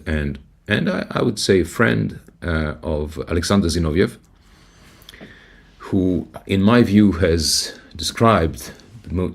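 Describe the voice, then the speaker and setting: An older man from Yugoslavia speaking as an interview guest on a video call, halting with many restarts and fillers. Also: deep voice. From Yugoslavia, of Serbian origin. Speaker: guest, writer and translator. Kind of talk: conversational interview answer.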